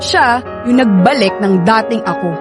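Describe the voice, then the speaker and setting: Woman acting a high-voiced, emotional Filipino wife, music behind. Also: normal speed, close to an everyday voice. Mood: hurt, angry. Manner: emotional, bitter, confrontational. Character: hurt, defiant young wife facing her cheating ex. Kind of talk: Filipino radio drama acting.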